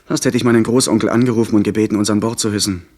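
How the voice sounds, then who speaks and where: An actor playing a young gentleman who recounts his sea adventure in measured, literary radio drama narration. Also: on the low side, steady tone, lightly acted. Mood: absorbed, suspenseful. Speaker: narrator and lead character. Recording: 1970s radio play, faint background noise.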